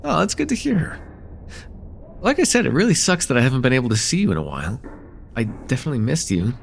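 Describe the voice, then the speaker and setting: A man's voice: medium pitch, sing-song, quick pace, gravelly, a little hiss or hum. A man playing a friendly, teasing college-age guy in a casual, hesitant slice-of-life ASMR roleplay with a close friend. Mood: warm, sincere.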